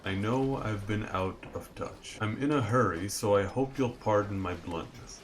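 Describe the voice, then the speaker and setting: A man's voice: fairly low pitch, noisy background. A man reading dialogue aloud in a casual video game playthrough, with music behind him.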